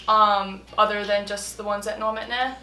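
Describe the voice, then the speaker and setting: A young woman chats casually in an interview, her voice bright and forceful. She fills her talk with likes and ums and laughs easily. The room rings with echo, and faint music plays under her. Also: medium pitch, fairly even intonation, normal speed. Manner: chatty, many fillers and laughs.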